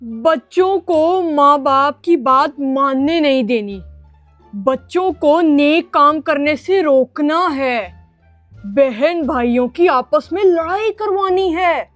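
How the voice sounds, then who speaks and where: A woman's voice: high-pitched, lively intonation, faint music behind. A woman playing a naive, bumbling young apprentice devil in a comic skit, earnest and eager to please. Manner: obedient, slow-witted, earnest. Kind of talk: comic family skit acting.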